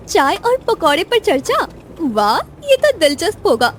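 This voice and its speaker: A woman voicing a playful, curious young woman in a romantic audio drama, teasing and giggly in an expressive way. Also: extremely high pitch, slight background noise, exaggerated voice.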